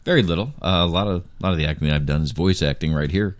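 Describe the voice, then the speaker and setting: A man's voice: sits low, fast. A man in his forties co-hosting a casual podcast chat, throwing in wry quips. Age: 40s. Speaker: podcast co-host.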